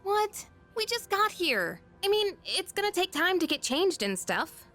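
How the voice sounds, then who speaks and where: Sassy teen girl, high-pitched. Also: sing-song, measured pace, a bit bright, faint music behind, full character voice. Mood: exasperated. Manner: sassy, casual. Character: sassy, down-to-earth teenage girl, easily exasperated. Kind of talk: animated video game dub.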